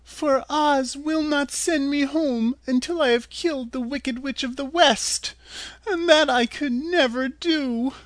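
A man voicing a helpless little girl who pleads and sobs in a high voice. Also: animated, unhurried, dry recording. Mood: sobbing. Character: girl Dorothy, small, helpless and tearful.

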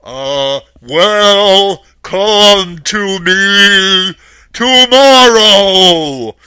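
A man voicing a great wizard in a storybook, with a big, halting, uneasy delivery. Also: high voice, animated, bright, forceful tone, clean tone, no echo, studio quality, voice pushed up, exaggerated voice. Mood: startled, stalling. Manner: halting, drawn-out. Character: huge booming wizard voice, startled and uneasy.

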